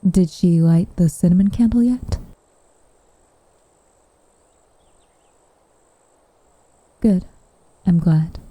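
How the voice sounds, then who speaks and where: A woman playing a gentle, wistful witch in an intimate ASMR roleplay, speaking softly and half-whispered, in a measured, tender way. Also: lowish voice, no echo. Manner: soft, breathy, tender, measured delivery. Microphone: close microphone.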